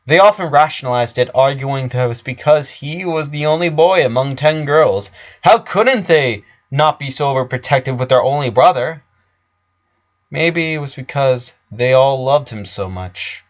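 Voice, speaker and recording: A man narrating a story in a dramatic reading style. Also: medium pitch. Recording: dull lo-fi sound.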